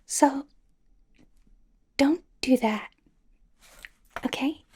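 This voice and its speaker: A woman playing a bubbly, chatty young female K-pop idol in an ASMR roleplay, rambling casually and confidingly in a breathy whisper. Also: high voice, extremely slow, a little mellow. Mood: friendly, confiding. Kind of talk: ASMR personal attention roleplay.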